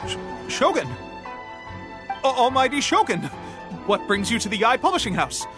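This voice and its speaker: A man's voice: very high-pitched, sing-song, moderate pace. A man playing a nervous, obsequious clerk in a video game, stammering and anxiously deferential. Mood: panicked, baffled. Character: nervous, obsequious male publishing house clerk. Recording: prominent background music.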